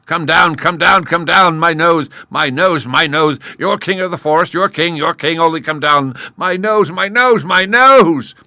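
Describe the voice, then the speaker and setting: Storybook lion, male, booming and blustery, pitched well up and loud, as a man reads it. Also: sing-song, slow, no echo. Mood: wailing. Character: boastful proud male lion, king of the forest.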